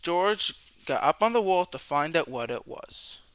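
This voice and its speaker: A man reading a children's storybook aloud as a storyteller, with expressive narration, dramatic questions and drawn-out words for young listeners. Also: a little high, clear, resonant tone, no room sound.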